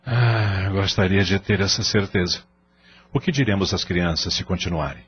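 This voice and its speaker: A man playing an uneasy middle-aged father in an audio drama, earnest and measured. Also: low voice, breathy edge. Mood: worried. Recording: muffled, lo-fi audio drama track.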